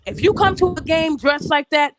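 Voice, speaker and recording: A woman's voice: high-pitched, normal speed, over music. A woman co-hosting a live podcast, getting over a cold, talking in a blunt, emphatic and profane way. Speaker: podcast co-host. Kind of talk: opinionated live podcast talk.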